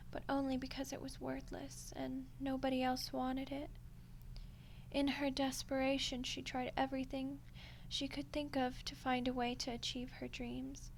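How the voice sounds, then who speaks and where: A woman playing a smug, condescending female archdemon in a soft-spoken ASMR roleplay, unhurried and menacingly polite. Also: high voice, creaky, slight background noise, rough recording. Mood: condescending, scornful. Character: ancient female archdemon, smug, coolly seductive deal-maker.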